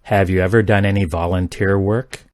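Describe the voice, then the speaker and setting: A man reading simple English practice sentences aloud, each one twice, in a careful, even delivery. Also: sits low, normal speed, no echo, clean studio sound. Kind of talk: slow repeated sentence reading for learners.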